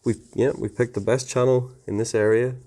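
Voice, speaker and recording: A man's voice: medium pitch, steady tone, brisk, dark tone. A man giving a casual technical tutorial in an informal, plain-spoken, conversational way. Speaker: technical presenter explaining network setup.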